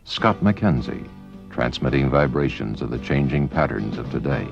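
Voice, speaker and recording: A man reading a 1960s record commercial in a measured, portentous announcer style. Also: very deep voice, animated, gravelly. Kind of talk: 1960s record advertisement voice-over. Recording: dull lo-fi 1960s radio commercial. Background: loud music.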